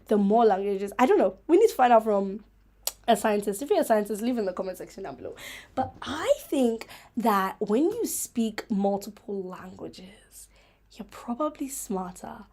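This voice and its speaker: A woman hosting a casual, rambling livestream chat, playful, giggly and self-mocking, with an animated, emphatic way of talking. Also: fairly high pitch. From Africa. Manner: animated, emphatic, repeating herself for effect. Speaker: host of a chat vlog.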